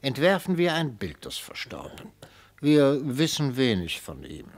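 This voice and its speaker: A man's voice: medium pitch, huge pitch swings, measured pace. An actor playing a sly, gleeful retired prosecutor in a radio play, an older man speaking in florid, theatrical rhetoric. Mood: oratorical, relishing. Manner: theatrical, rhetorical, relishing every phrase. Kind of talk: German radio play acting.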